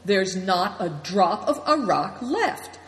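A woman voicing a grandiose, flattering traveler man who declares things with emphasis, read aloud in an echoey room. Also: medium pitch, slow pace. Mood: pompous. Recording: echoey room with long reverb.

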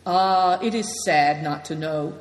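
A woman's voice: fairly low pitch, slow, slight background noise. A woman reading as a humble, rueful nomad host. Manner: humble, gently melancholy. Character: modest, courteous middle-aged nomad man host. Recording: echoey room with long reverb.

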